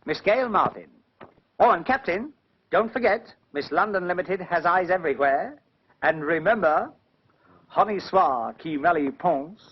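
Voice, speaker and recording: A cheeky wisecracking agency man trades gags with a slightly husky voice. The sound is a dull, muffled 1940s film soundtrack. He talks in brisk comic banter. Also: high voice, lively intonation, exaggerated voice. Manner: wisecracking, tongue-twisting banter. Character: cheeky joking male agency partner. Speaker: escort agency partner. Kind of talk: 1940s British film comedy acting.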